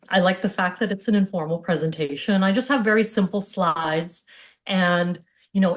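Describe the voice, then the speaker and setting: A middle-aged woman with an American accent, a physical therapist giving an informal online presentation in an open, conversational way. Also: on the low side. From Hawaii, United States. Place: indoors on a video call.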